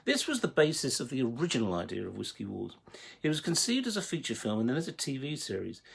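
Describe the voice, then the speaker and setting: Husky, creaky-voiced man, measured and articulate. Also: medium pitch, huge pitch swings. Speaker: writer and producer introducing his audio drama series. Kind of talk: prepared explanatory monologue to the audience.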